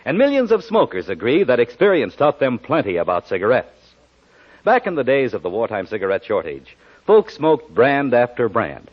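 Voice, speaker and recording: A male announcer reading a 1940s radio advertisement in an emphatic, salesmanlike way. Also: mid-range voice. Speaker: commercial announcer. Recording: muffled 1940s radio recording.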